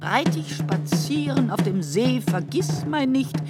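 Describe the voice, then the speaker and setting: An actress reciting surreal poetry in a radio play. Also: female voice, a little high, sing-song, slow pace. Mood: incantatory. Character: female reciter of surreal poetic texts. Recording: loud music over the voice. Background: loud drums and percussion.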